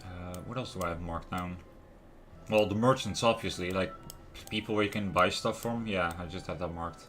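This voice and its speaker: A man's voice: low voice, normal speed, fairly bright tone. A man livestreaming a video game in casual commentary, musing aloud and often trailing off to question himself. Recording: music playing behind the voice. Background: lo-fi music, keyboard typing and clicks.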